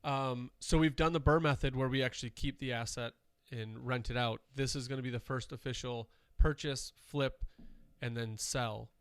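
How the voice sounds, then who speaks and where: A man's voice: mid-range voice, steady tone, normal speed, few pauses, clear, resonant tone, dry recording. A man co-hosting a podcast, chatting casually and rambling with fillers, a little nasal from dust. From Wisconsin. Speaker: podcast co-host. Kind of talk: casual chat.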